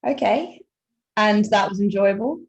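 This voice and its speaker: A woman's voice: mid-range voice, a little slow, darkish tone, lo-fi sound. A woman hosting a casual discussion, giving short, encouraging reactions and prompting questions. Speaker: event host, musician.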